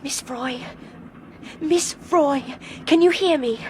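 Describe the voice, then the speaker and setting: A woman's voice: sits high, takes it slowly, a little mellow. An actress playing a determined, impassioned young woman traveller in a radio drama, urgent and insistent. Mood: desperate. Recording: clear background train noise.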